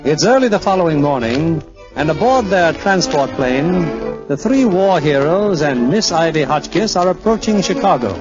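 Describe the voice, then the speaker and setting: Old-time radio announcer guy narrating, higher voice, muffled, loud music under him. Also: animated, normal speed. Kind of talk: 1940s radio scripted narration.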